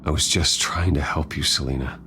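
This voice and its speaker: A brooding wealthy male vigilante in a video game drama. His voice is deep and heavily creaky, subdued and earnest. Clear noise and ambience sit behind him. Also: fairly even intonation, brisk, bright, forceful tone, heavily acted. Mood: earnest, defensive. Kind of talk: naturalistic video game acting.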